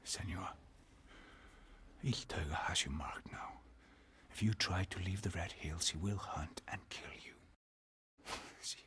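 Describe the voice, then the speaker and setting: A grave male guide of a haunted Norse land for a video game. His voice is lowish and heavily breathy, with a rasping husk. He speaks slowly and solemnly, with portentous weight and a weary temper. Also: heavily acted. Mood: grim, then awed, hushed. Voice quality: husky, breathy, often dropping to a whisper. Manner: solemn, deliberate, portentous delivery. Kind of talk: dramatic video game dialogue.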